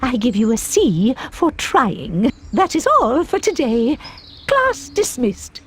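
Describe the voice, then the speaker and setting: A stern older witch schoolteacher in a video game. She speaks formally and precisely in a highish voice with lively rises and falls, over faint background noise. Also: slow pace, heavily acted. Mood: formal, instructive. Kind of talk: video game character acting.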